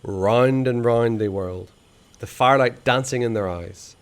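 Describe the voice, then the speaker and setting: A man reading a children's novel aloud, expressive and hushed in the dark passages. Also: mid-range voice, measured pace. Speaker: storyteller.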